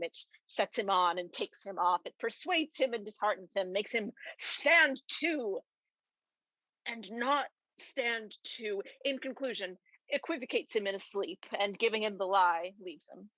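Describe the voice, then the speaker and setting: Young woman acting tipsy castle gatekeeper, bright forceful voice, bawdy, grumbling, exaggerated comic Shakespeare, dry sound. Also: mid-range voice, measured pace, no echo, poor audio. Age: young adult. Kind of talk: amateur student Shakespeare comic monologue.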